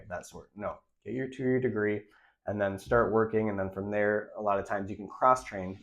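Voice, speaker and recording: A young man with a stuffy, nasal voice from a recent cold gives direct, emphatic career advice in a casual vlog. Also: lowish voice. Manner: direct, emphatic, repeats key points. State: congested, nasal from a recent illness. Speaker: radiology tech creator giving career advice.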